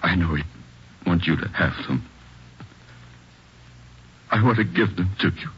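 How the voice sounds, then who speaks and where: Husky, low-voiced grieving father. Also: animated, full character voice. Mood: gentle, grieving. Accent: American. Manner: halting, heavy, plain-spoken. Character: grieving, restrained father of a dead young boy. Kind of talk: 1940s radio drama acting. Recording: muffled vintage 1940s radio recording.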